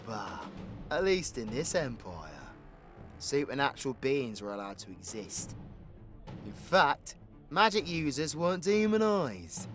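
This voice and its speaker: A man playing a cocky, animated British supervillain. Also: mid-range voice. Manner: crude, playful, swaggering, conversational. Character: cocky, foul-mouthed male supervillain, orphaned, teasing his drinking partner. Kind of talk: audio roleplay addressed to the listener.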